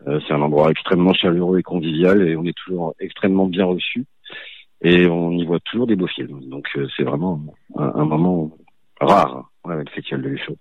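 An actor raised in Toulouse, chatting in a relaxed way on the phone from his mother's home, with lots of fillers and easy agreement. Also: sits low, a little fast, gravelly, no echo. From southern France, raised in Toulouse. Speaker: actor guest. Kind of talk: casual phone interview. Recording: dry, tinny phone line.

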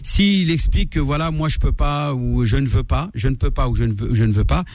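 A man's voice: medium pitch, fairly quick, a little mellow. A man, an imam answering callers on a radio call-in show, firm and repetitive, sprinkling in Arabic words.

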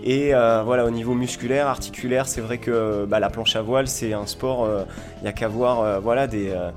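Man, mid-range voice, from southern France, informal interview guest, enthusiastic explanations with pauses, faint guitar music. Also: medium pitch, fairly even intonation. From Montpellier, southern France. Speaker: foil school owner and instructor, interview guest.

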